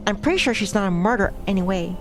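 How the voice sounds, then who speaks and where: A woman's voice: medium pitch, expressive, racing. A woman streamer reading a visual novel aloud, with casual, chatty asides between passages. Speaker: VTuber streamer. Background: background music.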